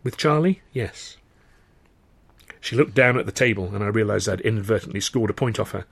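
A man with an English accent reads his own novel aloud in measured, first-person storytelling. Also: on the low side, steady tone, vocal fry, slightly rough, dry recording. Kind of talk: dramatised audiobook narration.

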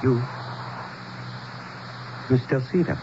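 An actor playing an earnest, anxious, educated town leader, speaking formally and haltingly as he explains, in a radio drama. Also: natural voice. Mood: urgent, amazed. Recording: muffled, noisy 1970s radio broadcast.

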